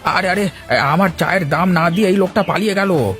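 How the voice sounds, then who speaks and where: A man's voice: high-pitched, a little fast, fairly bright tone, soft background music. A man voicing a gossipy older village tea seller in a cartoon, folksy and inquisitive. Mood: alarmed. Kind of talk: cartoon voice acting.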